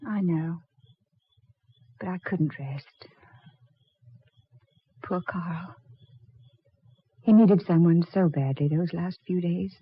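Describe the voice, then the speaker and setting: A woman's voice: on the low side, takes it slowly, pauses often, slightly rough. A woman playing a grieving, anxious, devoted widow in 1940s radio horror, plaintive and dramatic. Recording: thin, phone-like 1940s radio recording.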